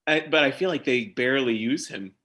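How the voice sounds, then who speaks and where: A man's voice: mid-range voice, brisk, pushed and bright. A man on a panel giving casual, opinionated film commentary.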